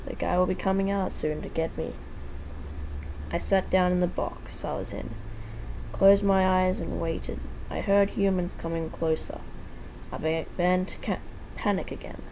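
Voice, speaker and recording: A woman reads fan fiction aloud in an amateur dramatic style. Her voice is dark and mellow and sits a little low. She stumbles and rereads now and then, with faint noise in the recording. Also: fairly even intonation, moderate pace, gravelly, rough recording. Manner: stumbles over words and rereads. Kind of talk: dramatic story reading.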